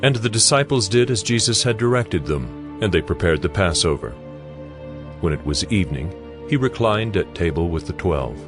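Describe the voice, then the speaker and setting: A man narrating scripture from a script in a measured, solemn way. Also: sits low, expressive. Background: music.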